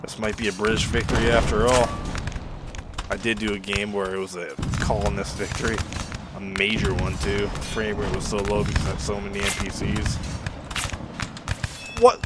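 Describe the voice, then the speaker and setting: A man's voice: on the low side, flat delivery, moderate pace, long pauses. A man from Western Canada with a Canadian accent, giving casual, rambling gameplay commentary as a video host. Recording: noisy, game gunfire behind voice. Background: game battle sounds with gunfire.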